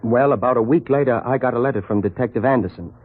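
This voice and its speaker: An actor in a 1940s radio drama playing a flustered accused shopkeeper, hesitant and stammering, on a muffled old recording. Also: male voice, medium pitch, fast, natural voice. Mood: anxious, flustered. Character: flustered accused shopkeeper on trial, anxious to explain.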